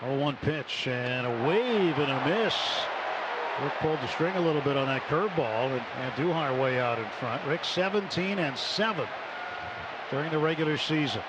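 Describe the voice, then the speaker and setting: Higher-pitched man calling baseball over stadium noise. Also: animated, moderate pace. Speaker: play-by-play baseball announcer. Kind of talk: live radio sports commentary. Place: stadium broadcast booth. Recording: noisy live ballpark radio broadcast. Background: ballpark ambience.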